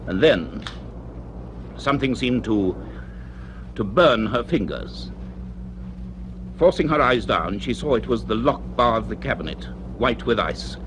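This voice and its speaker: A man narrating a suspense radio serial in a dramatic scripted style, with measured, suspenseful phrasing. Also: medium pitch. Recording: noisy old radio drama recording.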